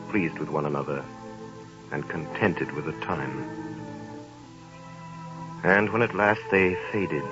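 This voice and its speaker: A man narrating a classic tale in a 1930s radio broadcast, in a rich, dramatic storytelling manner. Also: extremely low pitch. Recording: dull 1930s radio broadcast with loud music.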